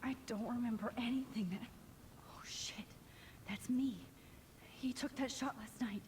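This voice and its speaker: An actress playing a frightened but determined captive teenage girl in a video game, breathy and distressed. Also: on the high side, steady tone, measured pace, a little mellow, slight background noise, lightly acted. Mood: dazed, pained. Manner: breathy, distressed, often near a whisper.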